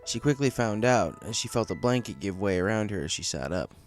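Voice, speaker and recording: A man's voice: fairly low pitch, lively intonation, fairly quick, faint music behind. A man reading a story aloud as a scripted narrator, in a storybook style. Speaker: fan fiction story reader.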